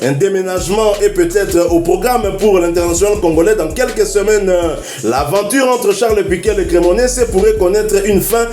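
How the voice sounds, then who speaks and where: A man's voice: high-pitched, normal speed, hardly any pauses, mellow, roomy sound, faint music behind. A man presenting a football news bulletin in a sing-song reading cadence, with fillers and hesitations. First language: not English.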